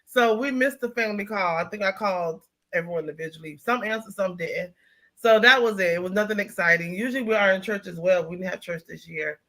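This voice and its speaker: A middle-aged woman hosts a casual talk show, her voice bright and ringing. She chats easily, teases her co-hosts and laughs often. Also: medium pitch, quick pace. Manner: laughing, teasing, chatty. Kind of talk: casual chat.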